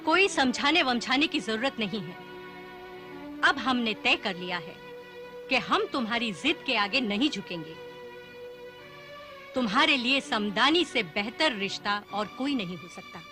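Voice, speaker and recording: A woman's voice: a little high, expressive, unhurried, pauses often, music in the background. An actress playing an exasperated, imperious noble mother in a period serial, haughty and scolding. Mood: exasperated, stern. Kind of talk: formal Urdu-flavoured period serial acting.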